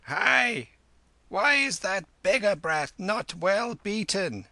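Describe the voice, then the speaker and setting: A man voicing an imperious, sharp-tongued old woman in a storybook, with a thin, flat voice. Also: sits high, very slow, no room sound, pitched above the performer's own voice, heavily acted. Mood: angry. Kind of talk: storybook character voice.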